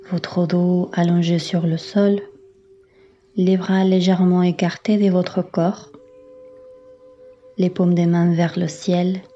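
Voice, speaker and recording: A woman yoga instructor leading a guided relaxation in a calm, soothing, unhurried manner with long pauses. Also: fairly low pitch, dull audio. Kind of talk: guided meditation, yoga nidra.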